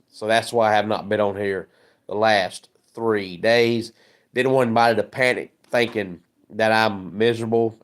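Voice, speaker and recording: A man hosting a casual sports livestream, hoarse and scratchy from a head cold, chatting and greeting viewers by name. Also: fairly low pitch. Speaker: sports channel host.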